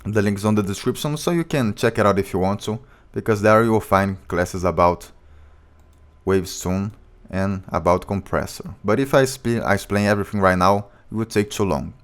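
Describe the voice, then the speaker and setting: A man with a low voice, a music producer walking through his vocal chain step by step in a plain, informal tutorial. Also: normal speed. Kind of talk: tutorial walkthrough.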